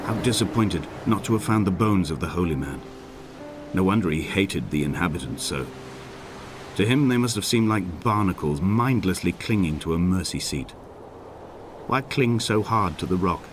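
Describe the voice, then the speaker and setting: An actor playing a grieving, weary male castaway, narrating a video game's literary monologue in a solemn, measured, poetic way. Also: low-pitched, lively intonation. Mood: brooding. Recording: voice over music.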